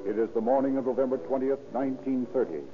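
A man's voice: medium pitch, steady tone, fast, soft background music. A man announcing and narrating in scripted 1930s radio style, formal and emphatic. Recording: tinny 1930s radio broadcast.

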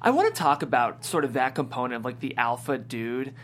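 A woman's voice: extremely low pitch, very animated, fairly quick. A woman hosting a casual interview, chatting conversationally as she sets up her questions. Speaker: interviewer, show host. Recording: noisy room with hum.

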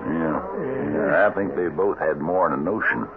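Low-voiced, fatherly cattle boss. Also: moderate pace. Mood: easygoing, concerned. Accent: American Western. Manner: folksy, kindly. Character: fatherly, plain-spoken older cattle trail boss. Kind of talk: old-time radio Western acting. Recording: tinny, noisy old radio recording.

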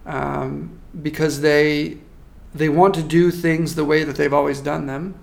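A man working as a marketing consultant, giving a measured, thoughtful interview answer with frequent restarts. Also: on the high side, little pitch movement, creaky, darkish tone. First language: not French.

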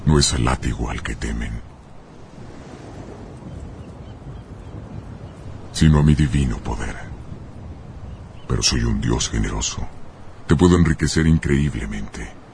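An actor playing an imperious, menacing god-king in a film dub, with a pompous, deliberate, declamatory delivery. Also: very deep voice, creaky, a little mellow. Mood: grandiose. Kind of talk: dubbed epic film acting. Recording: noisy film soundtrack clip.

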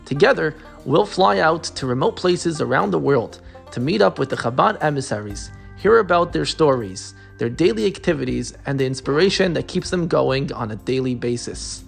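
A man's voice: a little high, lively intonation, moderate pace, vocal fry, soft background music. A man hosting a podcast, asking questions in an upbeat, encouraging way. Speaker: podcast host. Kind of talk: podcast interview.

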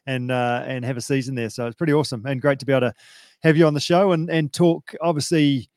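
A man's voice: medium pitch, steady tone, quick pace, darkish tone, no room sound, clean studio sound. A man hosting a podcast, talking in a loose, chatty way full of fillers. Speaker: podcast host. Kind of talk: casual podcast chat.

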